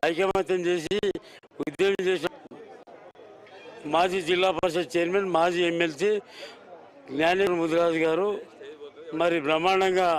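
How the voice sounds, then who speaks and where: A man's voice: high-pitched, little pitch movement, moderate pace, long pauses, some chatter behind, low-quality recording. A man with a Telangana Telugu accent answering an interview as a local legislator, emphatic and repeating himself.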